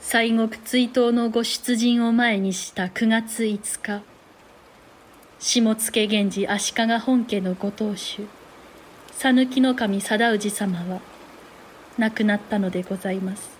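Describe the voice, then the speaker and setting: An actress playing a solemn storyteller, narrating a samurai audio drama in polite, formal phrases. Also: female voice, on the high side, fairly even intonation, slow pace, slight background noise. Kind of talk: scripted narration.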